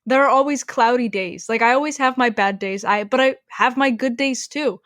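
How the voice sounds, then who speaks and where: A teenage woman speaks earnestly and reflectively with friends on a podcast, filling her sentences with "like". Her voice sits a little high. The recording is dry and close. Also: normal speed, a bit bright, no echo. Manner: earnest and reflective, filler-heavy. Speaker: podcast co-host. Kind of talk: casual chat among friends.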